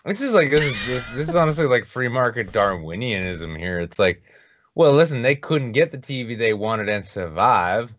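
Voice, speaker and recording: A man co-hosting a podcast, talking in a mocking, animated way and laughing often. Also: medium pitch, normal speed, dry recording, dull audio. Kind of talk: casual podcast chat.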